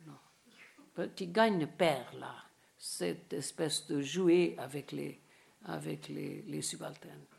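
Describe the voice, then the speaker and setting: A woman's voice: low voice, slow, vocal fry, a little mellow. Older woman lecturing formally. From India. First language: Bengali. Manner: dense formal reading broken by hesitant asides in English. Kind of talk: academic lecture read from a prepared text.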